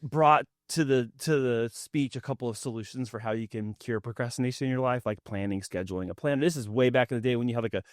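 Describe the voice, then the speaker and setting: A man's voice: medium pitch, quick pace, hardly any pauses, no echo, clean studio sound. A man hosting a podcast interview, joking and rambling with frequent restarts. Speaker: podcast host. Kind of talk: conversational interview.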